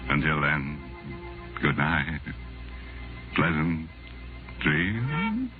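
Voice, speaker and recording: An actor playing a gleefully macabre horror host on 1940s radio, sly, teasing and tongue-in-cheek. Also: male voice, very deep voice, huge pitch swings, full character voice. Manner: sly, chuckling, tongue-in-cheek, drawn-out menace. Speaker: host of mystery anthology show. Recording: tinny 1940s radio recording over music.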